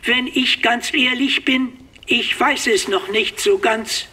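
Sheepish android, high bright voice, slow delivery. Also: female voice, breathy edge, full character voice. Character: sheepish female android, soft-spoken.

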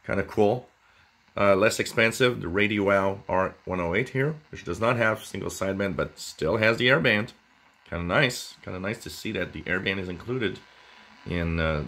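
Low-pitched man, bright voice, casual presenter. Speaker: amateur radio video presenter. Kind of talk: casual product talk, unscripted.

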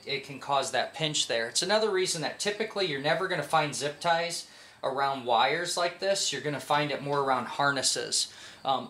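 Bright-voiced American man giving a tutorial. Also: on the high side, slight background noise. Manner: conversational, instructive, with check-in questions. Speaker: mechanic instructor. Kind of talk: informal hands-on tutorial. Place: home garage workshop.

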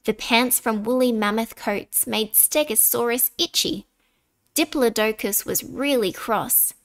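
A woman reading a children's picture book aloud in an expressive, deliberate way, dramatic on key words. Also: on the high side. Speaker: storybook reader.

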